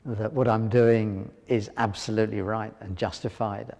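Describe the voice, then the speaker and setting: A man with a low voice speaks slowly and earnestly in an interview. His voice carries a long reverb tail, as if in a large room. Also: level tone, creaky, heavy background noise. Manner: deliberate, earnest. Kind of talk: interview answers.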